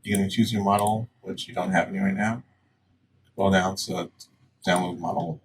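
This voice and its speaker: A man with a low voice walks through software steps like a casual tutorial presenter. He is plain-spoken and instructional. He sounds a little away from the mic, with faint noise behind him. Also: level tone, moderate pace, recorded from a distance.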